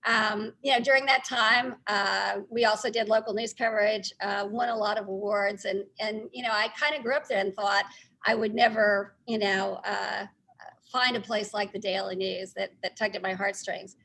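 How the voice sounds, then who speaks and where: A middle-aged woman with a Texas accent, speaking informally and haltingly as a guest recounting her career. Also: medium pitch, fairly even intonation, gravelly, sharp tone, rough recording. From Texas, United States. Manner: halting, conversational. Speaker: newspaper publisher, guest speaker.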